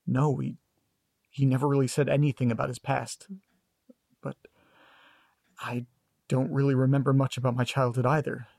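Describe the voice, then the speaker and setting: A man's voice: medium pitch, flat delivery, moderate pace, vocal fry, long pauses, darkish tone, no room sound. Man acting guarded grandson, sarcastic, detached, dry hesitant delivery, audio drama, close dry sound.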